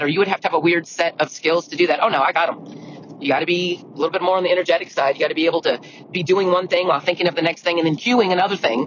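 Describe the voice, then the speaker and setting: Scratchy-voiced woman hosting a faith podcast. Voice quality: deep, scratchy voice. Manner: chatty and earnest, with frequent restarts. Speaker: podcast host. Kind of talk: solo podcast monologue. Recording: lo-fi audio with clear background noise.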